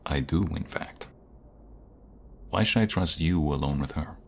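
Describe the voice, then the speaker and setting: Protective young mobster, male actor: rock-bottom pitch, crackling fry, menacing and deliberate, audio roleplay. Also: heavily acted. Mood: grudging, stern. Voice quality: gravelly. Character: protective, hot-tempered male mobster, tender with his sweetheart.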